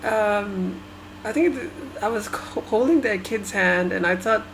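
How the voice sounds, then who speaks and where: A woman from Sri Lanka whose first language is Tamil, talking through her thoughts in a halting, hesitant way with many restarts. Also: mid-range voice, a little hiss or hum, rough recording. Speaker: vlogger. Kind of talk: personal reflective monologue.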